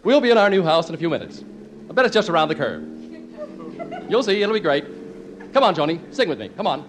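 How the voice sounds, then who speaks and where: A man's voice: on the high side, sing-song, fast. An actor playing a flustered, stubborn, put-upon husband and father with an American accent, stammering and self-pitying in 1950s radio comedy. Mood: putting on cheer. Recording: noisy old 1950s radio recording.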